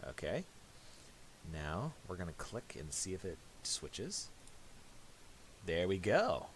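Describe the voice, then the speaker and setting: A man presenting a casual software tutorial in a halting, mumbled way, on a hissy home recording with clicks. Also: low voice, very animated.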